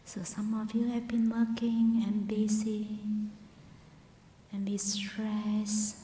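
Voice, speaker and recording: Female meditation guide: very gentle and breathy, slow delivery, recorded in an echoey hall with faint noise. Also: mid-range voice, completely flat delivery. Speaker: meditation teacher, Buddhist nun. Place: large meditation hall at a Buddhist centre. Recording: roomy hall reverb, faint background noise.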